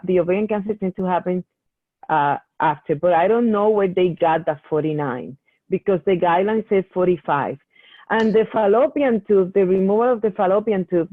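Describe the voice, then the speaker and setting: A woman's voice: lowish voice, no echo. A woman medical geneticist answering patient questions in a Q&A session, explaining at length with many restarts. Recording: tinny phone-like call audio.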